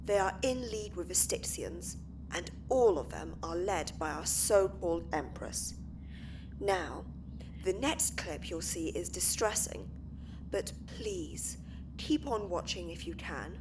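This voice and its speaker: A woman's voice: fairly low pitch, expressive, slow, background noise. A woman voicing a streamer who earnestly warns her viewers. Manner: earnest, urgent address to viewers. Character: earnest female streamer exposing a conspiracy.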